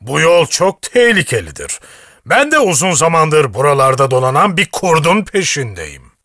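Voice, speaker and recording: Bold, wildly animated hunter. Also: male voice, a little high, normal speed, no room sound, clean studio sound, exaggerated voice. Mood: concerned, helpful. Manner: hearty, emphatic. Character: burly, bold, protective forest hunter. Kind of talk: children's cartoon fairy tale acting.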